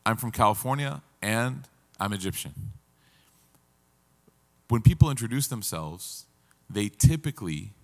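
A man's voice: fairly low pitch, big-room sound. A man in his thirties, seated at a table, teaching an interactive Quran class and asking the audience questions. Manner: engaging, asks the audience questions.

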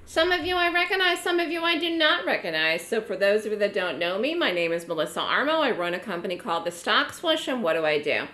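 A hoarse woman giving a live online trading lecture to her trading room, chatty and repeating words for emphasis. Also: medium pitch, big pitch swings, quick pace, few pauses, bright, forceful tone, roomy sound. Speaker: trading educator running a trading room.

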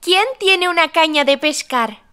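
A woman's voice: very high voice, lively intonation, measured pace, sharp tone, exaggerated voice. A woman voicing a cheerful, helpful little girl in a children's cartoon, talking to young viewers in a teacherly, exclamatory way. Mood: reassuring, encouraging. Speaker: main character and presenter of a children's cartoon.

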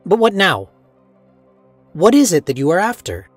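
A man's voice: sits high, huge pitch swings, normal speed, no echo, faint music behind. Earnest man giving urgent directions. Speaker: narrator voicing characters. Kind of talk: game story cutscene voice acting.

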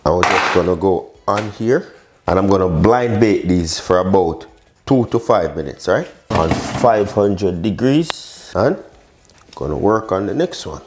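A man's voice: deep voice, expressive, a little slow, very noisy. A man, casually talking viewers through a recipe step by step, with short chatty exclamations. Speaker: cooking show host, chef. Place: indoor modern home kitchen.

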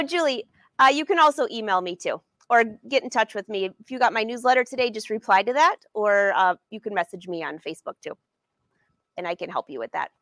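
Brief: woman in her 40s, sewing teacher on a livestream, friendly and chatty, slightly high voice, dry close sound. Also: a bit bright, no room sound. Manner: chatty and upbeat, addresses viewers by name. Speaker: sewing educator and brand ambassador. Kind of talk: live-streamed sewing lesson answering viewer questions. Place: sewing studio indoors.

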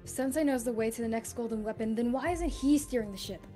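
A woman reading character lines in a casual fan table read, teasing and energetic. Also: on the high side, fast talker, soft background music.

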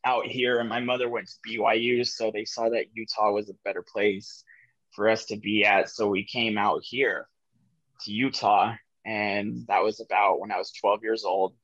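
A young man in his twenties from New Mexico, telling his personal story over a video call in a reflective, soft-spoken way, with many "like" and "um" fillers. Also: on the low side, dry recording, lo-fi sound. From New Mexico, USA. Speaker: guest sharing his recovery story. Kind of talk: candid personal storytelling on a podcast. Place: office room with ceiling lights. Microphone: video call webcam.

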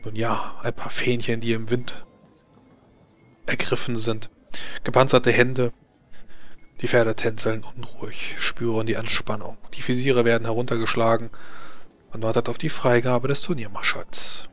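A man's voice: medium pitch, little pitch movement, normal speed, a bit bright. Man narrating as game master. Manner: descriptive, hesitant with fillers.

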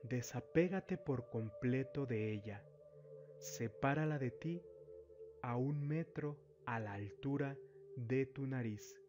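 A man narrating a guided meditation as a spiritual guide, giving deliberate instructions in short phrases with long pauses. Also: medium pitch, lo-fi sound. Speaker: spiritual therapist, meditation guide and channel host. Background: background music.

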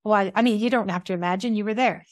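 A woman's voice: mid-range voice, very fast, no room sound. Woman podcast interviewer, dry sound. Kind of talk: conversational interview.

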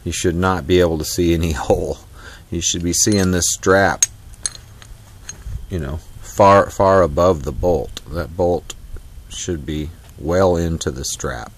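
A man's voice: sits low, measured pace, slight background noise. A man casually talking through a trailer repair outdoors, folksy and rambling with lots of fillers and restarts. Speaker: DIY vlogger. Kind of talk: casual off-the-cuff how-to vlog. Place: outdoors on a driveway beside a trailer.

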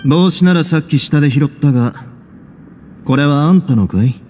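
An actor playing a composed, wry young duke who runs a prison in a game, measured and nonchalant with quiet authority. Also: male voice, on the high side, soft background music, lightly acted. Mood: casual, wry. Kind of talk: game voice acting.

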